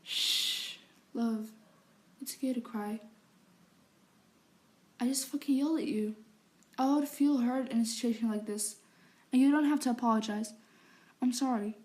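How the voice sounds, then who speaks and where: This is a young man of magic, doting and protective, voiced by a woman reading aloud who keeps him quiet and close. He drops into a breathy whisper and stays low-key. The tone sits a touch high and mellow. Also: steady tone, normal speed, slight background noise, natural voice. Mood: tender, soothing. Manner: soft, hushed, partly whispered. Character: young male wizard, protective fiancé.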